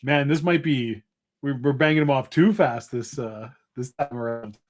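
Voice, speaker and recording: A man's voice: mid-range voice, moderate pace. A man co-hosting a casual livestream chat, joking and laughing often. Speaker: co-host.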